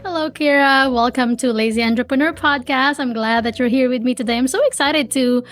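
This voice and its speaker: A woman's voice: high voice, brisk, over music. A woman from the Philippines hosting a podcast interview over a video call, chatty and rambling. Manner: chatty, rambling, with repeated restarts. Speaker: podcast host.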